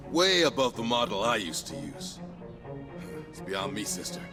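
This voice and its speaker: An actor playing a roguish, friendly ex-soldier bartender in a video game, talking with folksy, affectionate banter. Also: male voice, lowish voice, sing-song, normal speed, music in the background. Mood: friendly, businesslike.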